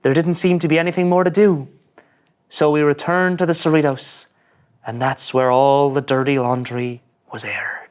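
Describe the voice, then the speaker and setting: A woman's voice: deep voice, fairly even intonation, normal speed, a little mellow, natural voice. A woman playing an earnest, easily flustered young male starship ensign in a melodramatic sci-fi script reading. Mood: rueful narration. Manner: melodramatic, indignant monologuing. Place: studio.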